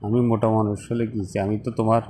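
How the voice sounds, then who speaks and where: A man's voice: fairly low pitch, little pitch movement, quick pace, warm tone, a little hiss or hum, close to an everyday voice. A man acting as a persistent office boss in a phone-call drama, coaxing and reassuring in a conversational way. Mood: insistent, reassuring. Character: persistent male office boss coaxing a reluctant female employee.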